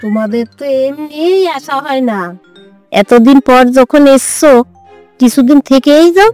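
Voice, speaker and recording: A woman's voice: high-pitched, expressive, measured pace, a little mellow, faint music behind. A woman voicing a hospitable, doting older mother-in-law who coaxes in a motherly way in a comedy cartoon. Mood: coaxing. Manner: coaxing, motherly.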